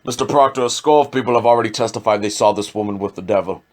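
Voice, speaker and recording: A man's voice: on the low side, rapid-fire, darkish tone, natural voice. A man playing a stern colonial magistrate. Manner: stern, commanding, legalistic.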